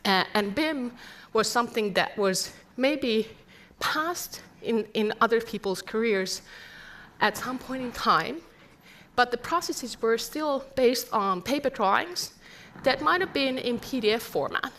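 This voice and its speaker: A woman's voice: fairly high pitch, animated, a little slow, fairly bright tone. A middle-aged woman from Finland with a Finnish accent, giving an earnest conference presentation full of rhetorical questions. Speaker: conference speaker.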